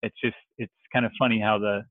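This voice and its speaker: A man from Texas speaking as a real estate investor guest on a podcast, relaxed and joking. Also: lowish voice, fast, no echo, narrow, tinny audio. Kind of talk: podcast interview.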